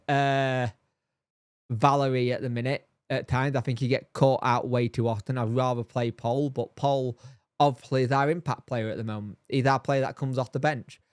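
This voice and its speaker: A man's voice: mid-range voice, smooth, dry recording, clean studio sound. A man with a blocked, nasal and throaty voice, rambling casually through a live stream and answering chat by name. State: congested, bunged up. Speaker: fan channel host reading live chat.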